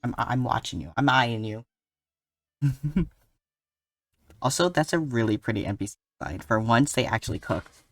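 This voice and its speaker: A streamer reacting live to a game, excitable and swearing while talking to the chat. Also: mid-range voice, normal speed, long pauses, no room sound, clean studio sound, recorded from a distance.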